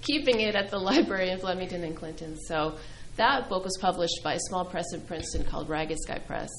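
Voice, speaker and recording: A middle-aged woman who grew up speaking Greek, a poet answering interview questions thoughtfully, with many pauses and fillers. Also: on the low side, slight background noise. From New England. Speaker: poet and filmmaker guest.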